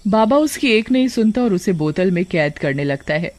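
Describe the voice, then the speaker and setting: A man's voice: sits high, lively intonation, normal speed, slight background noise. A man reading scripted horror story narration as a storyteller.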